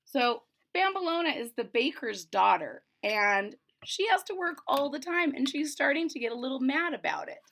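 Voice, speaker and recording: A woman reading a children's storybook aloud expressively, with deliberate, emphatic phrasing and simple explanations. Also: high voice, lively intonation, fairly bright tone. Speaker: storybook reader.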